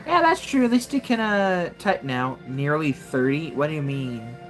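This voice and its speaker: A woman's voice: sits low, expressive, normal speed, soft background music, low-quality recording. A woman in her twenties casually commenting on her gameplay during a live stream, wry and joking, often exclaiming to herself. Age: 20s.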